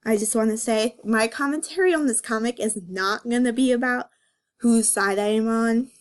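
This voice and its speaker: A woman giving casual, playful commentary as host of a comic dramatic reading, with sarcastic, self-mocking asides. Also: a little high.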